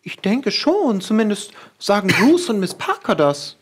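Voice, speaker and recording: A man's voice: sits high, sing-song, unhurried, slightly rough, exaggerated voice. A man voicing a young, obliging housemaid who talks in a polite, gossipy, hesitant manner in an audio drama. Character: young chatty, obliging housemaid Isabelle, a woman. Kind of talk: improvised pen-and-paper audio drama acting.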